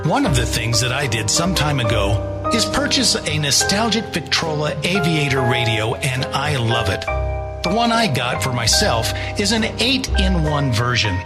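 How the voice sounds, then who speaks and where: A man's voice: a little high, sing-song, normal speed. A man presenting a classic radio show with scripted promotional narration. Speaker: host and presenter. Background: loud music.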